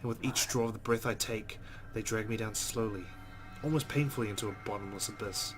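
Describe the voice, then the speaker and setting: A man's voice: on the low side, a little fast, music in the background. A man hosting a let's play, narrating a visual novel aloud and adding his own asides.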